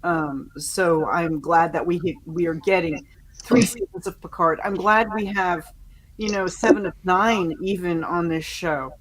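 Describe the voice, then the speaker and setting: A woman chatting casually as a podcast panelist, giving fan opinions in a halting, conversational way. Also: fairly low pitch, rough recording.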